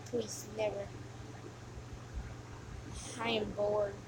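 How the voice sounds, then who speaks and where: Woman as a mocking game demon, noisy recording. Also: fairly high pitch. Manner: taunting, scornful, drawn-out sighing delivery. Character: mocking, sneering demon taunting the player after deaths. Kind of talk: amateur video game villain voice lines. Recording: noisy amateur home recording.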